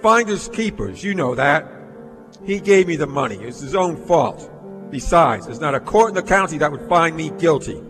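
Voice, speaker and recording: A man's voice: fairly high pitch, very animated, normal speed, a bit bright. An actor playing a greedy, domineering businessman in a stage radio play, with a smug, cutting, commanding manner. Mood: defiant, gloating. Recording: reverberant theatre hall, music in recording.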